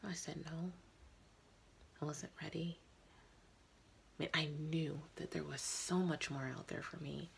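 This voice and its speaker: Woman acting a hushed, sorrowful immortal young woman. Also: sits low, steady tone, creaky, slight background noise, voice pushed down, full character voice. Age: young adult. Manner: halting, intimate confession with long pauses. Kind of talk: dramatic audition monologue.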